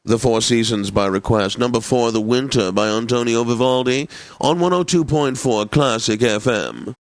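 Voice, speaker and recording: A man plays a pompous, genteel classical station host from a satirical video game. His medium-pitched voice savors each phrase with theatrical, drawn-out announcing, and the sound is dry and close. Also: no echo, lightly acted. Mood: obliging, grandiose. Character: pompous, genteel male classical radio DJ, faintly lecherous. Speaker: classical radio DJ. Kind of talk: scripted classical radio announcing, game parody.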